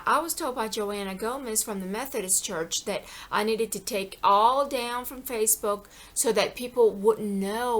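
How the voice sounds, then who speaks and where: A middle-aged woman in an impassioned personal monologue. She rambles and repeats herself, with many "you know"s, and pleads with her viewers. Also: mid-range voice. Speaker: vlogger.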